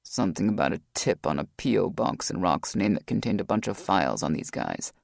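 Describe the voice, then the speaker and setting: A woman narrator voicing a tough male team boss who speaks bluntly and low. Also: sing-song, brisk, vocal fry, darkish tone, dry recording, clean studio sound, exaggerated voice. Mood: grim. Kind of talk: audiobook character voice.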